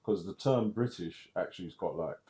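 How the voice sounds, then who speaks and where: A man's voice: low voice, expressive, brisk, dark tone. A man co-hosting a casual book discussion podcast, laid-back and often murmuring agreement. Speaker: podcast co-host.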